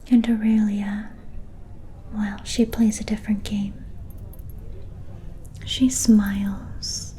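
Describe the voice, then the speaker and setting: Slow whispering vampire seeress, acted by a woman. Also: mid-range voice, level tone, warm tone, slight background noise, natural voice. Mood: knowing, quietly scornful. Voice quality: breathy unvoiced whisper. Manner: slow, deliberate, cryptic. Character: mysterious vampire seer, soft-spoken, ominous and faintly amused. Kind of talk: ASMR fantasy roleplay, whispered.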